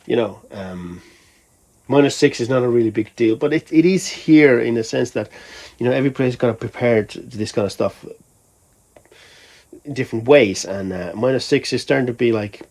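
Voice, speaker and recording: A man's voice: medium pitch, normal speed, a little mellow. A man from Finland, a musician, talking casually in a rambling, think-aloud way for a vlog. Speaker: musician vlogger.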